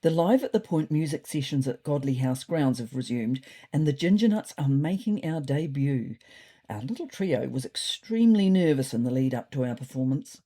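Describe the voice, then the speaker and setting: A woman's voice: very deep voice, lively intonation, normal speed, mellow. A woman voicing a thoughtful, slightly neurotic male ginger cat telling his diary in a dry, fretful way. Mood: dry, wry. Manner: dry, fretful, wordy understatement.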